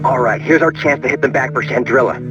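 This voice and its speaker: A man's voice: medium pitch, quick pace, lightly acted. An actor playing a young, confident rebel squadron leader in a video game cutscene, a man. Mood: focused. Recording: muffled lo-fi game audio under loud music.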